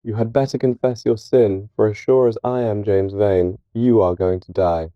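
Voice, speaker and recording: A man's voice: lowish voice, fairly even intonation, moderate pace, dry recording, dull audio, lightly acted. A man voicing a vengeful, brutish sailor in an audiobook, with a threatening, commanding manner. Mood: menacing. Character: vengeful, brutish sailor demanding revenge for his sister. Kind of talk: dramatised audiobook character reading.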